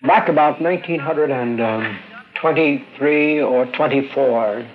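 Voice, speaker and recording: An older man with a creaky voice, a radio engineer looking back on his working life. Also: on the high side, unhurried, over loud music. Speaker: pioneer radio engineer recalling his career. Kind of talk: reminiscing. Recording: dull, noisy archival radio interview.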